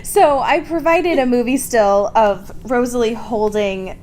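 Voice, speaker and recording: High-voiced woman, casually exasperated. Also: measured pace, a little mellow. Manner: exasperated, sweary, mock-quoting. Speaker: podcast co-host. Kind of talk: casual comedic book recap chat. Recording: clear background noise.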